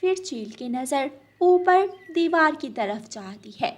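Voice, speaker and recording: A woman's voice: sits high, animated, slow pace. A woman narrating a children's cartoon story.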